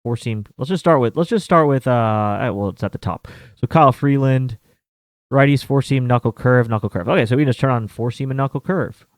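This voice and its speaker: Casual male betting host, about 30, loose rambling delivery, average pitch, dry close sound. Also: a little fast, no echo. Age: 30s. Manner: rambling, filler-heavy, with mild swearing. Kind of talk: casual chat.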